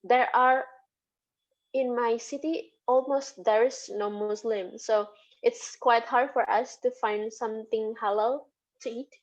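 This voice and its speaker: A woman's voice: a little high, fairly even intonation, measured pace. A woman lecturer with an Indonesian accent answering interview questions in English, hesitant and full of fillers and restarts. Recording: dull lo-fi recording.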